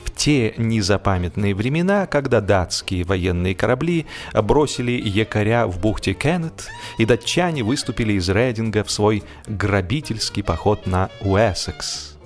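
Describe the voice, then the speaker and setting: A man's voice: lowish voice, animated, moderate pace, few pauses, faint music behind. A man reading a humorous story as scripted audiobook narration, expressively, drawing out the ends of his phrases.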